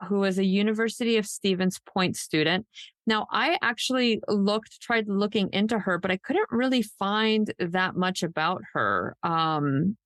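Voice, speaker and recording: A woman's voice: medium pitch, a bit bright, dry recording. A woman hosting a true crime podcast in a casual, loosely unscripted, conversational way. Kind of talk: casual co-hosted podcast chat.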